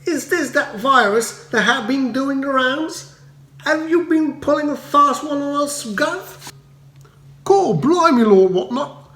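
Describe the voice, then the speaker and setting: Storybook Cockney rabble-rouser played by a male reader: high, indignant, heckling, in a roomy echo. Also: lively intonation, slow pace, bright, forceful tone, a little hiss or hum, exaggerated voice. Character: rowdy angry Cockney volunteers, loud indignant commoners.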